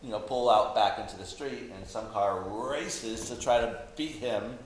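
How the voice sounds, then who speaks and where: Low-voiced man in an echoey room. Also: measured pace. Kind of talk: casual discussion and anecdote.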